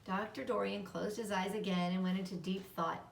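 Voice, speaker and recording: Woman reading a kids chapter book out loud, echoey room, bit far from the mic. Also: medium pitch, steady tone, moderate pace, creaky. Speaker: storybook reader. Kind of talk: children's book read-aloud narration. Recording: roomy echo, distant microphone.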